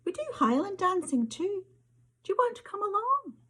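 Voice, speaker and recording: A woman's voice: extremely high pitch, very animated, normal speed, sharp tone, voice pushed up, exaggerated voice. A woman reading the part of an eager, bashful teenage schoolboy with a Scottish accent in a story. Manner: eager, colloquial.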